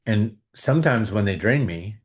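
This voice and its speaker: A man hosting a video, calmly guiding viewers through a tapping exercise with repeated phrases. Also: lowish voice, moderate pace. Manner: calm, repetitive, coaching tone. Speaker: host leading a tapping exercise. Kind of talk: guided tapping exercise and money talk.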